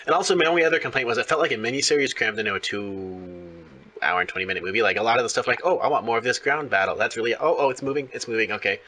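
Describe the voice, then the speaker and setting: A man's voice: mid-range voice, very fast, sharp tone. A man in his thirties chatting casually as an enthusiastic fan, rambling and often repeating his words. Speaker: interviewer. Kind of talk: casual fan chat about films.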